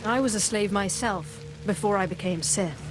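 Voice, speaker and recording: Woman acting a stern, imperious dark apprentice. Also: medium pitch, normal speed, over music, close to an everyday voice. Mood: cool, stern. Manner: formal, controlled, imperious. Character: stern female Sith apprentice, former slave. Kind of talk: video game dialogue acting.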